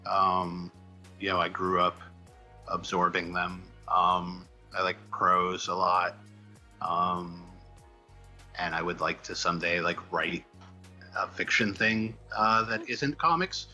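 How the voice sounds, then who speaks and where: A man answering interview questions in a casual, halting, self-deprecating way, thinking out loud as he rambles along. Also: deep voice, steady tone, dull audio, soft background music. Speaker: comic book writer being interviewed.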